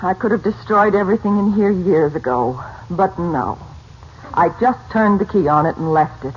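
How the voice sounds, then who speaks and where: A woman's voice: on the low side, moderate pace, breathy edge. An actress playing an anxious, self-reproaching middle-aged widowed mother in a 1940s radio drama, fretful and dramatic. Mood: bitter regret. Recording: muffled, noisy 1940s radio recording.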